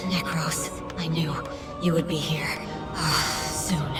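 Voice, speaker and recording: A woman's voice: low voice, fairly even intonation, very slow. A woman voicing a proud, weary captive dragon queen in a fantasy game cutscene, bitter and disdainful, with music behind. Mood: weary, scornful.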